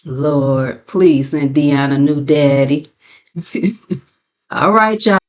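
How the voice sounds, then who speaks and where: A woman's voice: low-pitched, drawn-out words, highs cut off. An American woman hosting a commentary channel, giving a casual gossip recap in a sassy, mocking, colloquial way. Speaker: commentary channel host and narrator.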